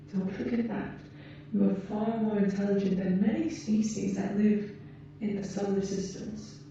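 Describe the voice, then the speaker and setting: A woman voicing a gentle, solemn female alien protector in an ASMR roleplay, speaking in measured, pausing phrases as she gently counsels a human. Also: medium pitch, close to an everyday voice. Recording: muffled, very echoey, distant, hissy background noise.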